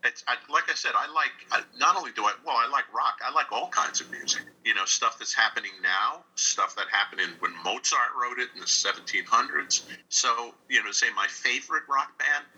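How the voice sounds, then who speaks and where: A man's voice: medium pitch, brisk, hardly any pauses, sharp tone, slightly rough, poor audio. A man from New York State answering interview questions casually and animatedly, often repeating his phrases. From New York State, United States. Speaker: interview guest, radio drama writer and director.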